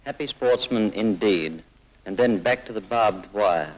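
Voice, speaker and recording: Grave low-voiced man narrating over music. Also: lo-fi sound. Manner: grave, emphatic, measured phrasing. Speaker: film narrator. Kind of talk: 1940s documentary narration, scripted. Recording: old film soundtrack.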